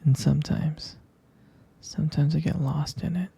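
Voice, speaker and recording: A man performing intimate boyfriend roleplay ASMR in a breathy, husky whisper, murmuring tenderly and adoringly with soft pauses. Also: on the high side, fairly even intonation.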